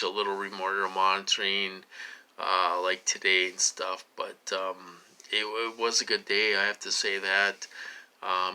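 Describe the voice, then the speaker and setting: A man in his late forties giving a rambling personal monologue, halting and repeating himself as he dwells on his questions. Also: fairly low pitch, bright, forceful tone, clean tone, poor audio. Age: late 40s. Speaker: vlogger.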